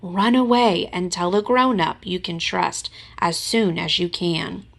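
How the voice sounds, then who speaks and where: A woman reading a children's storybook aloud as a teacher, with expressive narration. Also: fairly low pitch, unhurried, gravelly.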